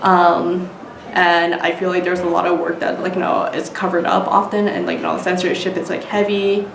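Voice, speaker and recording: A woman's voice: on the low side, flat delivery, moderate pace, gravelly. A woman with an American accent answering interview questions casually, peppering her speech with "like" and "you know". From United States. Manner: frequent "like" and "you know", informal. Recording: noisy, echoey room.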